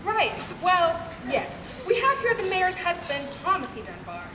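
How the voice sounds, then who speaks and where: A woman's voice: extremely high pitch, wildly swooping pitch, a little slow, exaggerated voice. A young woman acting an earnest, easily flustered news reporter in a comic radio play, dramatic and breathless. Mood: flustered, polite. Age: young adult. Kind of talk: comic old-time radio broadcast acting. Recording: distant, echoey stage, noisy room.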